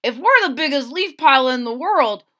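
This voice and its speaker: A self-important girl leaf from a storybook, voiced by a woman, eager to be first. Her voice is high and bright, and she makes her case insistently with lively rises. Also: moderate pace. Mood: boastful, insistent. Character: boastful female yellow hickory leaf, eager to be first. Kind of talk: children's storybook read-aloud.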